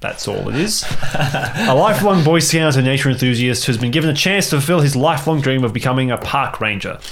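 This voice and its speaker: A man's voice: mid-range voice, expressive, a little fast, fairly bright tone, a little hiss or hum. A man bantering casually as a podcast cast member, joking in a playfully mock-formal way.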